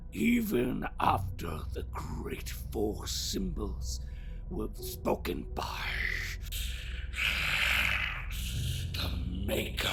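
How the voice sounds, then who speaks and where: An actor voicing a bitter, mocking, world-weary immortal spirit in a theatrical audio drama monologue, with a breathy, creaky delivery that drifts into whispers. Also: medium pitch, heavily acted. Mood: wistful, sly. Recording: music under the voice. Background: music.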